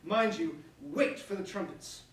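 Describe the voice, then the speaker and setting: Man acting a high-voiced, pompous young stage prince. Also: measured pace. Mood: commanding, excited. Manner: theatrical, formal verse declamation. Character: pompous young prince, grandiose, softened by love. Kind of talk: staged reading of verse comedy. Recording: very echoey room, distant mic, faint noise.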